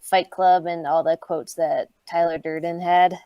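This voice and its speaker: A woman's voice: lowish voice, flat delivery, unhurried, no echo, highs cut off. A woman talking casually as a panelist about her favourite films and quotes, informal and full of fan enthusiasm. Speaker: panelist in a group chat.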